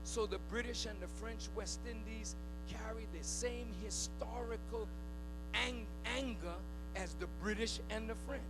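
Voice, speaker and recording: High-pitched, deliberate male preacher over music. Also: low-quality recording. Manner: emphatic, pauses between short phrases, prods listeners with questions. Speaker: preacher addressing a congregation. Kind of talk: fiery oratory, sermon-like public speech.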